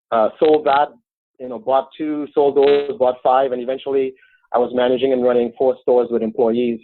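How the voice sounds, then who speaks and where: A middle-aged Trinidadian man talks eagerly and earnestly as an interview guest. His voice sits at an ordinary pitch for a man. The sound is thin and phone-like, with no room around it. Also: no echo. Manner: earnest, enthusiastic. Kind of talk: interview answers. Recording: dry, tinny phone-like line.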